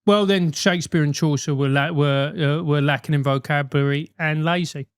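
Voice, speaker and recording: South London male host, animated advice rant, loose and profane, dry close mic. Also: fairly high pitch, normal speed, clear, resonant tone, no echo. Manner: animated, emphatic, swears freely.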